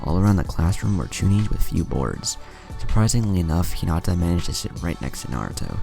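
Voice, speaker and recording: A man's voice: low voice, sing-song, a little fast, vocal fry. A man reading a scripted fan fiction story as narrator, voicing the dialogue as he goes. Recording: music behind the voice. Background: music.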